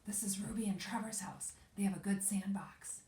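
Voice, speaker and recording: A woman's voice: medium pitch, moderate pace, warm tone, voice pushed up, natural voice. Woman doing a cheeky little schoolgirl, echoey room. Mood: sneaky whisper. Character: bold, cheeky young schoolgirl, quick with excuses. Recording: roomy echo, distant from mic.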